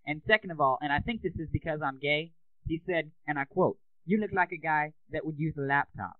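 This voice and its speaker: A man's voice: fairly high pitch, a little fast, no echo, close to an everyday voice. A man putting on an upset, fragile customer who complains to a store manager, overly polite and near tears, over a tinny phone line. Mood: aggrieved. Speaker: prank caller.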